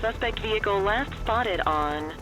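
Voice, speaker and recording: A man's voice: high-pitched, lively intonation, unhurried, over music, low-quality recording. An actor playing a clipped, formal male police helicopter crewman making radio calls in a video game. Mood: procedural.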